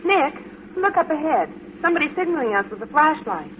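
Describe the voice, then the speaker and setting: An actress playing an apprehensive, wide-eyed American wife in a vintage radio drama. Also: female voice, high-pitched, big pitch swings, fairly quick. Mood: nervous. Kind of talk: 1950s radio drama acting. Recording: tinny vintage radio, faint background noise.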